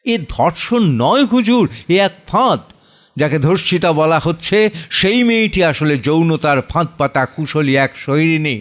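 A contemptuous male defence lawyer, put on by the man narrating, argues before a judge in an audio drama. His voice is pitched up and forceful, with emphatic, declamatory courtroom phrasing. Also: expressive, normal speed. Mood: scornful, insistent.

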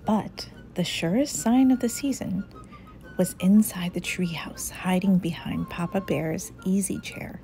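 A woman reading a children's picture book aloud in a deliberate, animated storytelling manner. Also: medium pitch. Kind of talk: expressive read-aloud narration.

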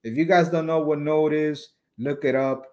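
Young man, higher-pitched voice, casual crypto vlogger, chatty, webcam recording in a small room. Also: fairly even intonation, moderate pace, darkish tone. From United States. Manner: casual, chatty, addresses viewers as you guys. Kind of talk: reading news aloud with casual commentary.

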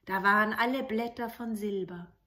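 A woman's voice: medium pitch, normal speed, pushed and bright. A middle-aged woman narrating a fairy tale expressively, as a storyteller. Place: indoors, living room with books.

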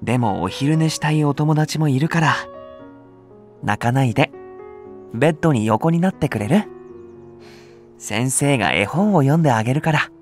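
An actor playing a gentle, patient young male nursery teacher, speaking in a soft, soothing way. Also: medium pitch, expressive, moderate pace, pauses often, faint music behind, natural voice. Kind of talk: anime voice drama acting.

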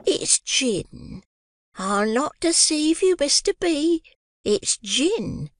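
A woman's voice: high-pitched, very animated, extremely slow, dry recording, clean studio sound, full character voice. A woman voicing a fawning, scheming middle-aged orphan-nurse who gushes and wheedles in an old-fashioned working-class dialect. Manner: gushing, wheedling, sugary. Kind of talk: dramatized classic novel reading.

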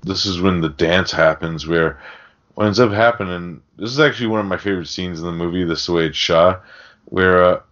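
A man with a low voice chats casually as a podcast host recapping a film. His talk is slangy and full of swearing. The recording is a little dull and lo-fi. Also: brisk, a bit bright.

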